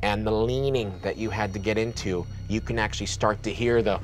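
A man's voice: lowish voice, steady tone, very noisy. Man narrating a tabletop horror game. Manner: descriptive, halting narration with fillers. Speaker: game master. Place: studio set at a table.

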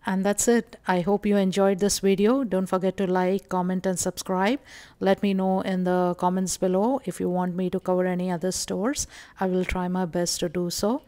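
Calm woman vlogger with a low voice. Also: normal speed, dry recording. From India. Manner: calm, explanatory, frequent uh fillers. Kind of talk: casual shopping walkthrough voice-over.

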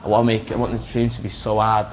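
A young man, a boxer chatting in a gym, relaxed and laughing easily. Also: lowish voice, racing, a little hiss or hum. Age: young adult. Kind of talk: casual interview. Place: boxing gym ring.

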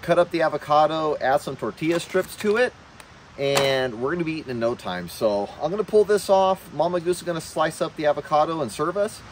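Laid-back guy in his 30s walking through camp stuff outdoors, kinda higher voice, little bit of wind noise. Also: expressive, brisk. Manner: easygoing, explains as he goes. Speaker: overlanding vlogger. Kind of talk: casual camp vlog. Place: outdoor mountain campsite.

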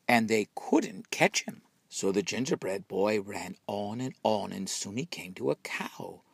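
A man narrating a children's storybook in an expressive, dramatic way. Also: fairly low pitch, a little slow, gravelly, breathy edge, no echo. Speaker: storybook reader.

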